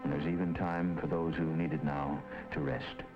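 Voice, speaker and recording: A man with a very low, deep voice reads documentary narration in a measured, solemn way. The sound is a muffled, lo-fi 1950s film track. A prominent orchestral score plays beneath him. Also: level tone, over loud music. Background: film score under the voice.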